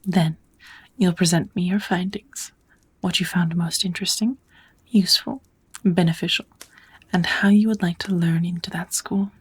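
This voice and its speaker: A woman voicing a kind, knowledgeable witch who gently teaches magic in a measured, whispered ASMR roleplay. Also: fairly low pitch, fairly even intonation. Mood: kind, patient. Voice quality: soft, breathy whispering.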